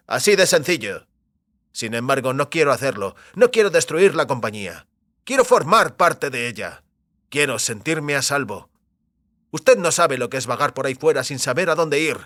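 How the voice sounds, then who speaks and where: A man playing a determined, defiant technician who is tired of being used, speaking firmly and deliberately in an audio drama. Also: on the high side, a bit bright, no room sound, studio quality. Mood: bitter, weary. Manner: firm, deliberate, insistent.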